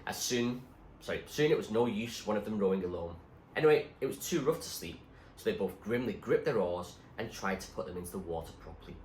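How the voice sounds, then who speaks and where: A man narrates a storybook with suspense and drama. His fairly low voice rises and falls widely. The recording is echoey with faint noise behind it. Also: brisk. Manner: expressive, suspenseful. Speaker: reader, teacher.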